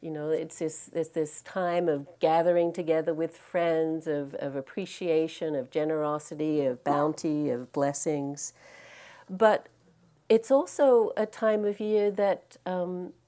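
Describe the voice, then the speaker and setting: A woman from Australia with a softened Australian accent, giving a gentle, reflective dharma talk as a meditation teacher, with frequent ums and restarts. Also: sits low, a little slow. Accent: Australian, mixed with American. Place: meditation hall in California.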